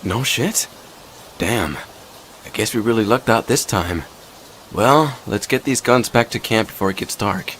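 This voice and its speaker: A man's voice: fairly low pitch, a little slow, a bit bright. Man acting an anxious young survivor, noisy backdrop. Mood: relieved. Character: anxious young male survivor, loyal scavenging companion. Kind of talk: dramatic comic dub voice acting. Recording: clearly noisy background ambience.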